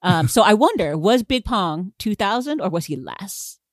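A woman co-hosting a podcast, chatting about a film in an animated way and laughing often. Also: medium pitch, normal speed, no echo, studio quality. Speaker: podcast co-host. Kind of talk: casual film discussion.

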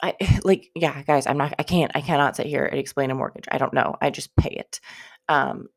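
Young woman with a cold, nasally and stuffy, super gravelly fry, rambly podcast chat. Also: extremely low pitch, fairly even intonation, a little fast. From United States. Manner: rambling, chatty, swears casually, many fillers. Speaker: podcast host. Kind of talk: casual solo podcast monologue.

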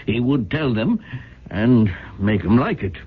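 Husky, deferential office manager, muffled radio. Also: male voice, medium pitch, animated, a little slow. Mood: prim. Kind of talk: 1970s radio drama acting. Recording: muffled old radio broadcast.

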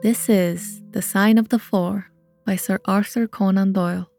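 A woman's voice: medium pitch, fairly even intonation, measured pace, darkish tone. A woman reading scripted narration for an audio drama as its narrator. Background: music.

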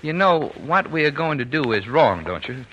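Gentle dreamer papa, folksy and hesitant, lively bright delivery, old noisy radio drama. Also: male voice, mid-range voice, normal speed, highs cut off. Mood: earnest, tender. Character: gentle, indulgent working-class papa, quietly scheming dreamer. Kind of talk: 1940s radio drama acting. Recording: noisy vintage 1940s radio recording.

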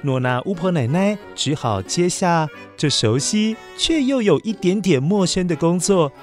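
A man narrating a children's story in a gentle voice, speaking directly to young listeners. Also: high voice, animated, measured pace, faint music behind.

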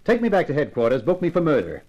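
Male lead: tough wisecracking gumshoe, animated pitch, slangy and sardonic, vintage radio thriller. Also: fast, muffled recording. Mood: brisk, defiant. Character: wisecracking hardboiled American male private detective, weary and defiant. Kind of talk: 1950s radio crime drama acting with first-person narration.

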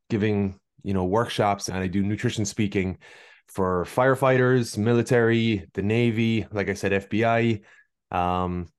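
A man with an Irish accent, a guest nutritionist telling stories in a friendly, easygoing way on a podcast. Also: fairly low pitch. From Ireland. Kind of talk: casual podcast interview.

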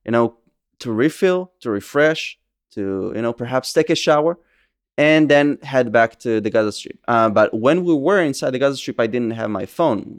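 A man from Israel in his late twenties with an Israeli accent, telling his story as an interview guest in a quick, energetic way full of fillers. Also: medium pitch. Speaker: guest, social media activist. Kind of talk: conversational interview.

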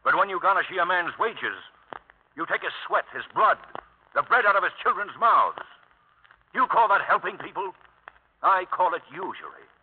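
An actor playing an impassioned, righteous courtroom lawyer with forceful oratory in old radio drama. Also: male voice, sits high, animated, moderate pace, slightly rough. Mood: righteous, accusing. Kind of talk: 1940s-50s radio drama acting. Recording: tinny old radio broadcast.

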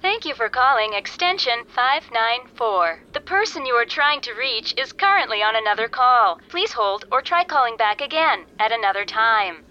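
A polite automated phone message, formal and measured. The voice is bright and fairly high, coming through a dull telephone filter. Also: female voice, animated. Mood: neutral, formal. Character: polite female recorded phone system announcer.

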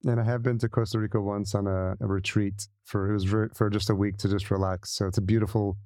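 A man with a fairly low, mellow voice working as a podcast host. He talks in a relaxed conversational register, recorded close and dry. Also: quick pace, no echo. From El Salvador heritage, lives in the US. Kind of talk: casual interview chat and sponsor read.

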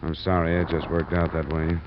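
An actor playing a dogged, world-weary insurance investigator in a 1950s American radio drama, with a clipped hardboiled delivery. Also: male voice, very deep voice, level tone, a little fast. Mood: terse, probing. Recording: muffled, noisy old-time radio recording.

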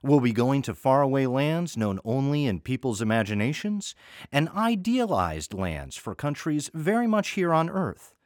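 A man's voice: medium pitch, very animated, normal speed, no echo, studio quality. An American man introducing an audio story series as host, in a wry, conversational scripted style.

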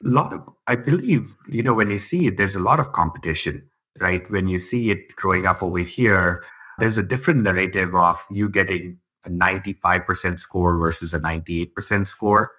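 A man's voice: sits low, a little fast, pushed and bright. A man from India, a podcast guest, chatting casually and thinking aloud, laughing easily. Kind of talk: casual interview chat.

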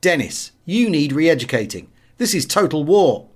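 An English man voicing a callous, bitter young wartime fighter pilot in an audiobook, brisk and clipped. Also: a little high, big pitch swings, voice pushed up. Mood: hard, defiant. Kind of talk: audiobook character dialogue.

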